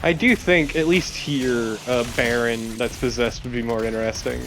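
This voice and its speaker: A man's voice: mid-range voice, steady tone, moderate pace, fairly bright tone, a little hiss or hum. A man chatting casually as a let's play co-host, blunt and sometimes profane. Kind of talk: casual gaming chat.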